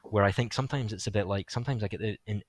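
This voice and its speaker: A young man hosting a casual film podcast, rambling with lots of fillers, his voice going hoarse. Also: fairly low pitch, racing, slight background noise. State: voice getting hoarse. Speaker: podcast host.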